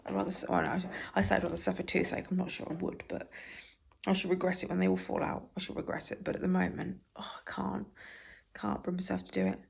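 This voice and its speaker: A British woman with a raspy voice, softly reading newspaper stories for ASMR and adding wry, halting asides. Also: lowish voice, very animated. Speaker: ASMR creator.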